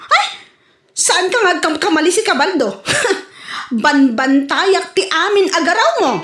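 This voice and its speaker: A jealous wife with an Ilocano accent, played by a woman, her voice very high and piercing. She fires suspicious, accusing questions at her husband. The sound has the echo of a bare room. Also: lively intonation, unhurried, a bit bright, faint music behind, heavily acted. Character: jealous nagging wife, watchful, shrill. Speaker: actor in a comedy skit. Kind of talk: comedy skit acting.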